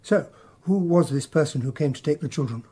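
An actor playing an earnest, polite gentlemanly captain and loyal companion, husky-voiced, in a radio play. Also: male voice, fairly high pitch, a little fast, dry recording. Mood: inquiring. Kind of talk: radio drama acting and narration.

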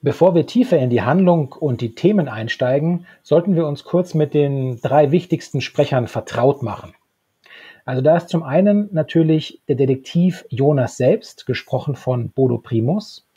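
A man in his thirties co-hosting a podcast, discussing a radio drama in a conversational review style, with a husky voice. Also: fairly high pitch, expressive, moderate pace, dark tone, lo-fi sound. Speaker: podcast co-host. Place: home room with bookshelves. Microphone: desktop microphone, close.

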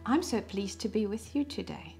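A middle-aged woman from South Africa with a South African accent, gently telling young children about her home country in a preschool lesson. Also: mid-range voice, animated, faint music behind. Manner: gentle, slow and clear, speaking to small children. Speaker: preschool staff guest presenter. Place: indoor studio set at a desk.

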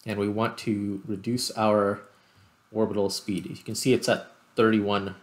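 A man's voice: lowish voice, little pitch movement, measured pace. A man doing casual gameplay commentary as a video host, thinking aloud and correcting himself as he goes.

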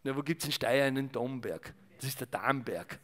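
A man's voice: mid-range voice, normal speed, fairly bright tone. An older man giving a rambling talk as a historian in front of a small audience, full of fillers and restarts. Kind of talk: discursive public talk with slides. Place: salon event room with small audience. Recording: reverberant hall.

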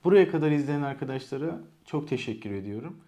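A man's voice: medium pitch, expressive, quick pace, background noise. A man hosting a motorcycle vlog, giving a casual, explanatory product review and thinking aloud as he goes. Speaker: motorcycle vlogger hosting own channel.

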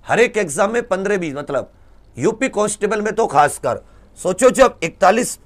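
A man, lecturing informally as a coaching teacher, exasperated and repeating his points with rhetorical questions. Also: high-pitched. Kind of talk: informal lecture explaining exam cut-offs to students. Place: indoor teaching studio at a large digital board. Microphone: lapel mic.

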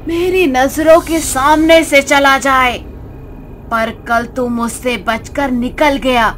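Woman voicing an arrogant, menacing ancient witch, slow taunts. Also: sits high, fairly bright tone, full character voice. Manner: taunting, boastful, drawn-out threats. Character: arrogant, menacing thousand-year-old female witch of the forest. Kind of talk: Hindi animated horror storytelling.

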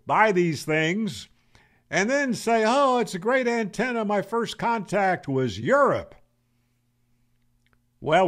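A man's voice: on the high side, a bit bright. An American man in his seventies giving a casual, opinionated, wry explanation to camera in a conversational way. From United States. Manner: conversational, opinionated, wry, asks rhetorical questions. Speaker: ham radio video host. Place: home room, indoors. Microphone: desk microphone on boom arm, close.

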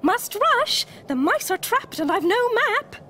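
A woman's voice: very high-pitched, big pitch swings, a little hiss or hum, pitched above the performer's own voice, heavily acted. A woman voicing a small, earnest male mole from a children's storybook in an eager, exclamatory manner. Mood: urgent. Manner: exclamatory, hurried, determined.

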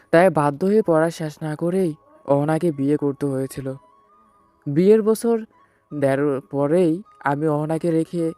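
A man's voice: on the high side, mellow, dry recording, close to an everyday voice. A man playing a betrayed, heartbroken husband who narrates his own story in a sorrowful, earnest way. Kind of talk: dramatic first-person audio story narration.

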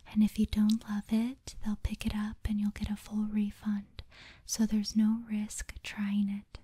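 A woman whispers softly and very slowly in a deeply mellow, breathy voice. Her delivery stays extremely even and soothing, in the style of an ASMR sleep meditation host. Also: no echo. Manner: slow, soothing, deliberate.

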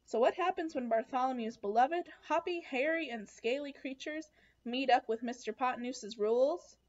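A woman's voice: high-pitched, moderate pace, darkish tone. A young adult woman reading a children's picture book aloud expressively, with questions and asides to her young listeners. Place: small room at home, indoors. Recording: dull lo-fi webcam sound.

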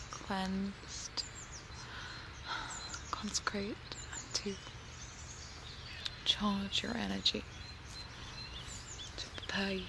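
A young woman acts out a serene cult devotee in a dark ASMR roleplay. She speaks very slowly and softly, often whispering, with little rise and fall. She is outdoors, close to the mic, with birdsong and clear nature noise around her. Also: mid-range voice. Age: 20s. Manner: hushed, slow, soothing, often whispering. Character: serene young female cult member preparing a sacrifice. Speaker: ASMR performer. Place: outdoors among trees. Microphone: close binaural microphone.

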